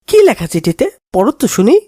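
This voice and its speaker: A woman playing an excitable, naive young boy in a cartoon. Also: a little high, brisk, slightly rough, no echo, studio quality, full character voice. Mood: curious, excited. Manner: animated, naive. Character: excitable, timid young boy, treasure-dreaming friend. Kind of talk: cartoon voice acting.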